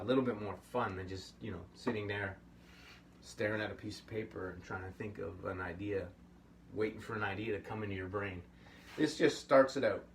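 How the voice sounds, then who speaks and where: A man's voice: mid-range voice, a bit bright. A middle-aged man hosting a puppetry workshop, talking casually and thinking aloud as he goes. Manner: easygoing, thinks aloud with fillers. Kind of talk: casual instructional talk. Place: home workshop room. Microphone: camera mic at a distance. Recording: slightly distant, faint hiss.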